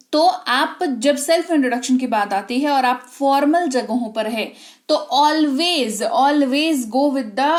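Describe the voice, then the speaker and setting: Indian woman teaching English, high voice. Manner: slow, emphatic, checks understanding often. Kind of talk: spoken English lesson.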